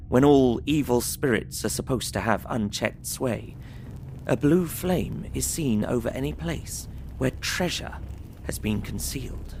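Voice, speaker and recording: An actor playing a polite, earnest young man, narrating his diary in measured, formal phrasing for an audio drama. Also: medium pitch, gravelly, darkish tone, a little hiss or hum. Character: Jonathan Harker, polite young Englishman, earnest diarist. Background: music.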